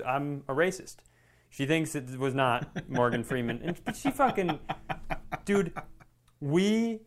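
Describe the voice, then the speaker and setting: Man, slightly high voice, podcast co-host, casual storyteller, animated, self-deprecating, sweary. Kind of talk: casual anecdotal chat.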